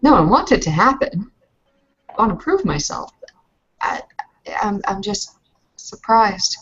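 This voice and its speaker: A woman speaking haltingly and casually as a player in a tabletop roleplaying game, on a dull recording. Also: mid-range voice, big pitch swings, fairly bright tone, slight background noise.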